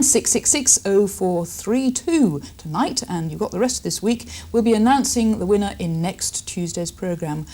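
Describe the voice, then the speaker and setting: British female presenter for a local magazine show, mellow timbre, medium pitch, studio interview delivery.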